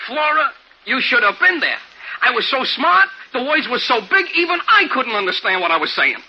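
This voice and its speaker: Excitable simple fellow, very high voice. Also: male voice, moderate pace, heavily acted. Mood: elated. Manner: excitable, stammering, plain unschooled talk. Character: simple, anxious, eager working man in love. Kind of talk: 1950s radio drama acting. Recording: dull vintage radio recording.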